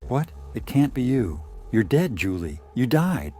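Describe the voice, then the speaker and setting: A man's voice: mid-range voice, sing-song, unhurried, dark tone. A man playing a confused, frightened but defiant dead man who questions and mutters to himself in a horror audio drama. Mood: shocked.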